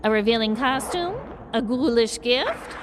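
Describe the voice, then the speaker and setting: A woman voicing a sly, ominous old witch shopkeeper in a scripted Halloween podcast skit, theatrical and drawn-out. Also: fairly high pitch, expressive, very noisy, voice pushed up. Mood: sly, coaxing.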